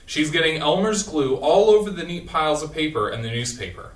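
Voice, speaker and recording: A man reading stage directions in a plain, even manner, his mid-pitched voice moving lively up and down. He sounds distant from the mic, in an echoey theater room. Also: fairly quick, noisy background.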